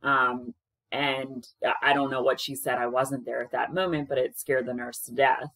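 A woman in her thirties, a caregiver, talking frankly to camera in a loud, projecting, conversational way, recorded on a webcam in a small room. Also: steady tone, normal speed, dry recording, poor audio. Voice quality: low-pitched voice that projects. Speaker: caregiver sharing experiences. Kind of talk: casual advice talk to camera. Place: small indoor room with plain wall.

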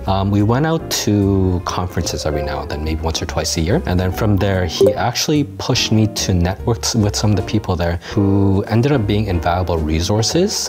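Man in his 30s from Canada for an interview. Low voice, measured and articulate, lapel mic, music bed underneath. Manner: articulate, measured, with occasional fillers. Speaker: graduate alumnus interviewee. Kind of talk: interview answers. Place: dim studio set with bookshelves. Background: soft background music.

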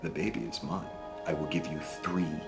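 A sly little fairy-tale imp, put on by a male storyteller, speaks in a fairly low voice. He is deliberate and gloating, drawing out his threats, with faint music behind. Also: gravelly. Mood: menacing.

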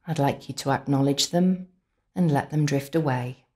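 A woman narrating a scripted guided visualisation in an unhurried, soothing way, with deliberate pauses. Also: very deep voice, a little mellow. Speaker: complementary therapist leading guided relaxation.

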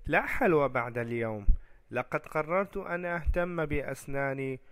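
A man voicing a cocky, carefree boy in an educational children's cartoon, expressive and emphatic. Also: fairly high pitch, normal speed. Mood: proud, resolved.

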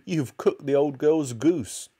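A man's voice: on the high side, takes it slowly, mellow, husky, voice pushed up. A man voicing an anxious, exasperated middle-aged wife and mother from a storybook, crying out in alarm.

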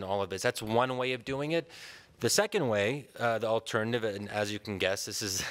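A man in his thirties from Pennsylvania with an American accent, giving a measured, thoughtful conference talk at a podium in a large hall, pausing often with ums and restarts. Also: on the low side, fairly bright tone. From Pennsylvania, USA. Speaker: conference speaker. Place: large hall with a seated audience. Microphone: podium microphone.

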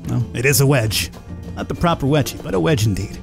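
A man's voice: medium pitch, animated, a little fast, gravelly, music in the background. A man playing a game, commenting casually and reading text aloud. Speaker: gamer, let's player.

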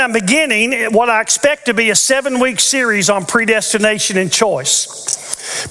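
A man's voice: very high-pitched, sharp tone. An older man preaching a teaching sermon from a church stage on a headset mic, earnest with playful asides. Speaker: pastor. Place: large church stage with audience. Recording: long reverb of a large church hall.

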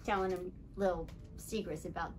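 A middle-aged woman reading a picture book aloud to children in an animated way, talking to them directly with questions. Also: fairly low pitch, moderate pace, soft background music. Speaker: children's story time reader and wildlife educator. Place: small indoor library room with bookshelves.

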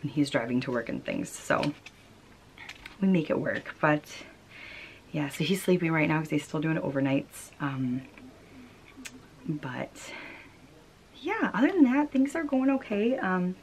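Casting: American woman, thirties, low register, casual chatty vlog host, homemade recording with slight background noise. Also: big pitch swings, a little slow, long pauses, darkish tone. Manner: chatty, informal, giggly. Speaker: knitting podcast host. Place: small wood-panelled room at home.

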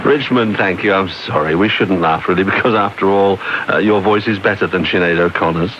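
Low-voiced British radio presenter, playful and teasing, off a dull, faintly hissing off-air tape. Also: male voice, brisk, loud music behind the voice. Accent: British English. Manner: jokey, teasing, bouncy. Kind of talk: radio request show presenting. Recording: muffled taped shortwave broadcast with faint hiss.

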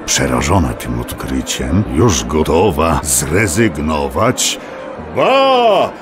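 A man narrating a children's tale in a theatrical, dramatic, expressive way. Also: very deep voice, slow pace, over music. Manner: dramatic, expressive, addresses listeners. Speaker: storyteller narrator. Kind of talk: theatrical scripted children's storytelling.

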